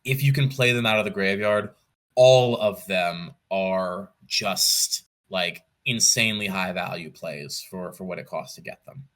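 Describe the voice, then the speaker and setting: A man with a fairly low but bright, forward voice. He chats casually as a podcast guest, weighing his points in a measured, analytical way and thinking aloud. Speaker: podcast guest, competitive card game player. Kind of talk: casual interview chat.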